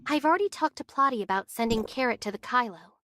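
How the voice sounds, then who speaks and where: A woman's voice: a little high, lively intonation, quick pace, background noise, lightly acted. A woman voicing a composed, practical young heroine in an anime game. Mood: composed, businesslike. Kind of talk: English anime game voice acting.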